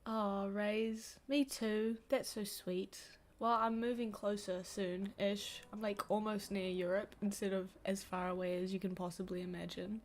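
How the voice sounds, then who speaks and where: A woman's voice: medium pitch, fairly even intonation, measured pace, hardly any pauses, a little hiss or hum. A young woman with a New Zealand accent, sniffly, chatting casually and self-deprecatingly while reading a book aloud on a livestream at home.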